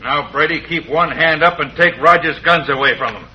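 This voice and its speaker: An actor playing a ruthless, gloating western villain who barks menacing orders in an old radio drama. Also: male voice, fairly high pitch, normal speed, breathy edge, lo-fi sound. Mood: commanding, threatening. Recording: sharp, grainy old radio recording.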